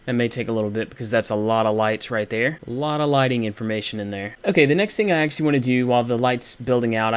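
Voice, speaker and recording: A man hosting a screencast software tutorial, explaining things step by step in an informal, casual way. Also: medium pitch, clear, resonant tone. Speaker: tutorial host.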